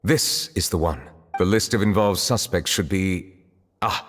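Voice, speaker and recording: An actor playing a formal, composed male magistrate in a video game, speaking in measured, precise words. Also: sits low, fairly bright tone, big-room sound, faint music behind. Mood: composed, studious.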